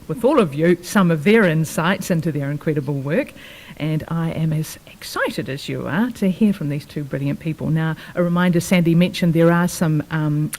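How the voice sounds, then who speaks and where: A New woman opens a public science evening, reading her introduction to a room of listeners. Her voice sits a little low and carries a long reverb from the hall. She keeps it formal but slips in small fillers and asides. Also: a little mellow. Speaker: event host. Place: event room with an audience.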